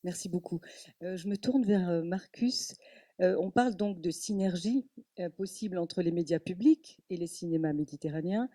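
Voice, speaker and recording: A woman's voice: lowish voice, moderate pace, dark tone, no echo. A woman moderating a panel, thanking a speaker and putting brief, orderly questions to the next guest.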